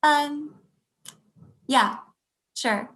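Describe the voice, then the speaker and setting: A woman playing a curt, fed-up young girlfriend ending a relationship, deadpan, in a table read over a video call. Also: sits high, extremely slow, full character voice. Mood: curt, weary. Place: indoor room on a video call.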